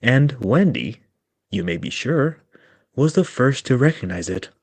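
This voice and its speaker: Animated man narrating a storybook. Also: mid-range voice, a little slow, husky, dry recording. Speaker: narrator in a group reading.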